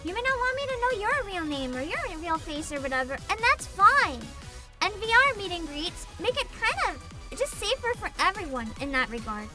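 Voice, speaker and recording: A woman livestreamer chatting casually with viewers in a giggly, rambling, animated way, swearing casually. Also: very high-pitched, moderate pace. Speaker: VTuber livestreamer. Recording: music behind the voice. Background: music.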